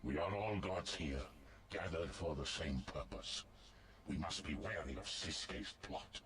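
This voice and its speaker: Very deep, gravelly god. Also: male voice, unhurried, a crowd in the background. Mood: grave, reasoning. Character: grave, commanding male god of a fantasy world. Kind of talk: fantasy video game voice acting.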